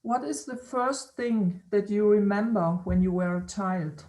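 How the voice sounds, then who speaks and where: A woman interviewer on a video call who speaks haltingly, repeating words and laughing often. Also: medium pitch, steady tone, warm tone, poor audio. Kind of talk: casual conversation.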